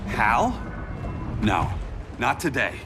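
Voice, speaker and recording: A man's voice: mid-range voice, huge pitch swings, drawn-out words, music in the background, heavily acted. A man playing an earnest young superhero seeking redemption in a game, with a wry touch. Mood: weary, suspicious.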